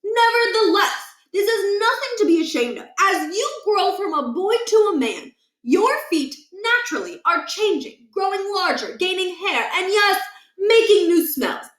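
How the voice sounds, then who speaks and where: A woman's voice: extremely high pitch, expressive, normal speed, a bit bright, reverberant, pitched above the performer's own voice. A woman voicing a pompous, fussy middle-aged male school principal who talks in a stiff, formal way. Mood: lecturing.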